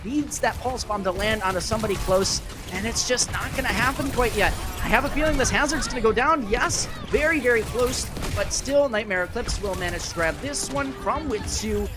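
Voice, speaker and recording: A man's voice: high-pitched, moderate pace, few pauses, music in the background. A man giving live play-by-play commentary on an esports match, with a playful, wry way of talking and plenty of quips.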